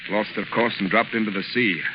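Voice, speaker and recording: An actor playing a gruff, fatalistic sea captain in 1930s radio drama style. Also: male voice, fairly low pitch, steady tone, fairly quick, lightly acted. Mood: grim. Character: gruff, fatalistic old-time fishing boat sea captain. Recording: muffled, noisy 1930s radio recording.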